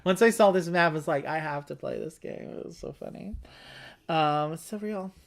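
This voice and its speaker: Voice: medium pitch, moderate pace, creaky. A board game player and co-host chatting casually through a playthrough, thinking aloud while planning moves.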